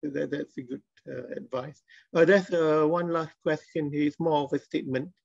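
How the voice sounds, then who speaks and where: A middle-aged man moderates casually in a gravelly, slightly high voice. He comes through a dull video call. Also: steady tone, normal speed, dry recording. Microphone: video call microphone.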